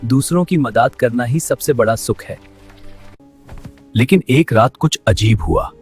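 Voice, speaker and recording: Lively male story narrator. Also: mid-range voice, a little slow, faint music behind.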